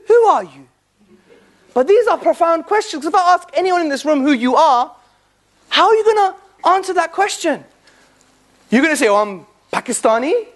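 Lecturer, high-voiced, talking to a live room. Punchy rhetorical questions, playful asides, quick scripture recitation. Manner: rhetorical, fires repeated questions at the listeners; jokey asides with bursts of laughter. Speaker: public speaker addressing an audience. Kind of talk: lively public talk on faith and philosophy.

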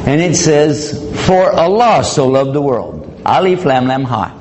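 Male lecturer, mid-pitched, casual and joking, acts out exchanges, recorded live in a hall with clear background noise. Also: sing-song, a little slow. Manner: conversational, joking, acts out dialogue. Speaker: Islamic lecturer. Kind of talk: public lecture.

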